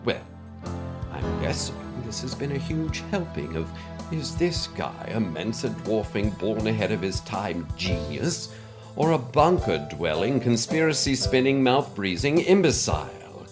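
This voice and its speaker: A man's voice: lowish voice, sing-song, unhurried. Man acting as pompous, wordy conspiracy-theorist scientist, music behind. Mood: self-satisfied, formal. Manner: formal, verbose, pedantic. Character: pompous mysterious male conspiracy-theorist scientist on a cassette letter. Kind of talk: scripted monologue.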